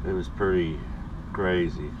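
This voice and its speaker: A man's voice: low voice, fairly even intonation, phone-quality sound, noisy background. Laid-back truck driver in his cab. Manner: laid-back, rambling, with frequent pauses and fillers. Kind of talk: casual weekly vlog. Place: inside a truck cab at night. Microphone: camera mounted on the dashboard.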